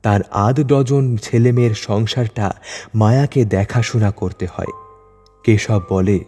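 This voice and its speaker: A man's voice: fairly low pitch, a little slow, warm tone. A man narrating a literary short story from a script. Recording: long reverb over music bed.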